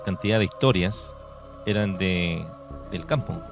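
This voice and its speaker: A man's voice: low-pitched, measured pace. A man with a Chilean accent in casual conversation as a radio co-host. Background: soft music.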